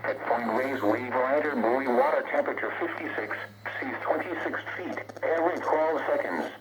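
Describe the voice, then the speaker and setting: Automated male weather announcer, even cadence through endless figures, caught off a noisy radio. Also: medium pitch, normal speed. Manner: steady list-like reading of figures. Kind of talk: automated weather observation readout.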